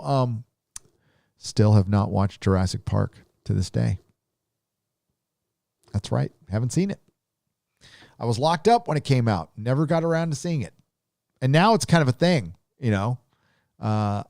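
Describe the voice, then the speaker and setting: A man's voice: medium pitch, vocal fry, a little mellow, no room sound. Laid-back Southern Californian man, dry sound. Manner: rambling, laid-back drawl with many fillers. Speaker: live stream host. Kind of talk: casual live chat reading donations.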